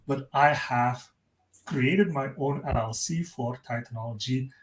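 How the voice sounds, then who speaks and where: A man's voice: mid-range voice, measured pace. Upbeat man from Belgium hosting, roomy sound. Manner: upbeat, welcoming. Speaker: webinar host. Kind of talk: live webinar introduction. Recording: roomy echo.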